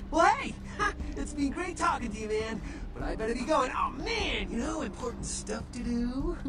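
A very high, wildly swinging voice for a goofy young male servant of a vampire. He gushes and boasts in slang like a video game character. Music plays clearly behind him. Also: animated, moderate pace, distant mic. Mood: secretive, excited. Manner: gushing, slangy, exclaiming.

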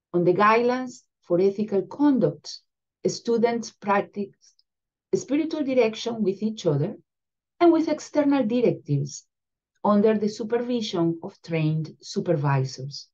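Venezuelan woman speaking, measured and mellow. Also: mid-range voice, dull audio. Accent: Venezuelan Spanish. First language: Spanish. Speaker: spiritual direction supervisor. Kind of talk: prepared introduction to a program. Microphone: video call webcam.

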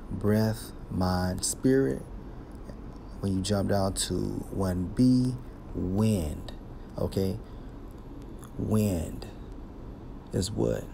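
A man teaching scripture, reading verses aloud slowly and explaining each one with a deliberate delivery. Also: lowish voice, vocal fry, noisy background. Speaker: Bible teacher.

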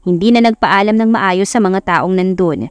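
A woman's voice: medium pitch, moderate pace, clear, resonant tone, no room sound. A woman with a Filipino accent reading a scripted romance as a dramatic audiobook narrator. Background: background music.

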